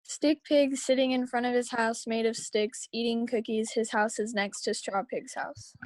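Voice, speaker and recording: A woman's voice: fairly high pitch, fairly even intonation, moderate pace, dry recording. A woman reading scripted story action aloud at a table read over a video call. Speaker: reader of action lines. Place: remote video call, close dry sound.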